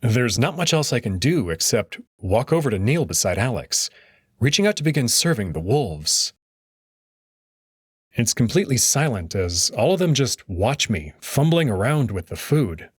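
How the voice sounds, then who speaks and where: A man narrating a story in the first person in an expressive storytelling manner. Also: mid-range voice, moderate pace, slightly rough, dry recording, clean studio sound. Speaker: audio drama narrator.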